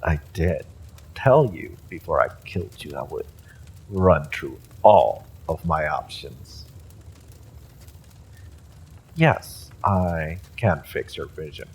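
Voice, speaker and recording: A man playing an evil sorcerer warlord in a fantasy audio roleplay, mocking and menacing with smug courtesy and a theatrical, drawn-out delivery. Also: rumbling low, animated, breathy edge, exaggerated voice, rough recording. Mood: smug, taunting. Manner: taunting, theatrical, drawn-out delivery with sinister chuckles.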